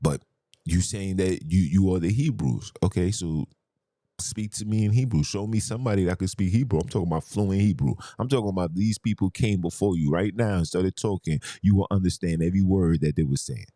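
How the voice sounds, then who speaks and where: A deep, mellow-toned man holds forth in a heated podcast monologue. He argues hard, firing rhetorical questions and hammering points home with repetition. His voice sits close and dry, with no room sound around it. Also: fairly quick, dry recording. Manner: argumentative, rhetorical questions, emphatic. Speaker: podcast host.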